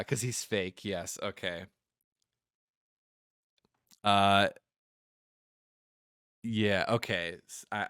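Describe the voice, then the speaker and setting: A man's voice: fairly low pitch, fairly bright tone, no room sound, studio quality. A man giving casual, joking, sweary commentary while playing a visual novel on a live stream. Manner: joking, irreverent, sweary, quick to giggle.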